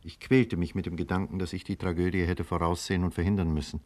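An actor playing a grave, cautious physician in a 1950s radio drama, speaking in measured, deliberate words. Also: male voice, deep voice, little pitch movement, darkish tone, natural voice. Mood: somber narration. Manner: measured, deliberate, careful wording. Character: grave, cautious male physician narrating a disaster. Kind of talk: 1950s German radio drama acting and narration. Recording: 1950s radio play with music.

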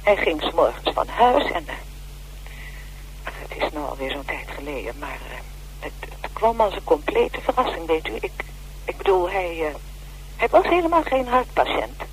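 A middle-aged widow in a naturalistic radio play. She is wary and aggrieved, feeling her way through halting sentences with a very mobile intonation. It sounds like an old broadcast with a faint layer of noise and hum. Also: lowish voice, big pitch swings, low-quality recording. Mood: shaken, hesitant. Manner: hesitant, halting, defensive.

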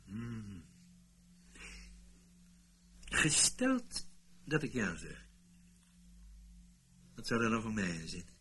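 Shrewd, sardonic, animated mercenary. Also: male voice, fairly low pitch, drawn-out words, pauses often, breathy edge, full character voice. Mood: cool, sardonic. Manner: dramatic, sardonic, bargaining. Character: reluctant, shrewd mercenary male impersonator recruited by spies. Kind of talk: radio play acting.

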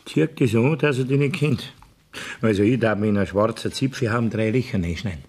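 An actor playing a sly, wary farmer in a 1970s radio play, speaking in a rustic manner with a Bavarian accent. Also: male voice, lowish voice, a little fast, a little mellow, slight background noise, close to an everyday voice. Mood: scheming. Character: sly, wary male farmer sheltering an outlaw.

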